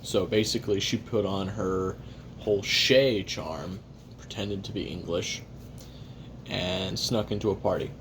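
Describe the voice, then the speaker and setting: Man playing a low, gravelly, weary assassin. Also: steady tone, noisy background. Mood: weary recollection. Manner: halting, sardonic, casually profane, talking while eating. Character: weary, jaded male assassin recording private memoirs. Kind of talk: first-person confessional audio roleplay monologue.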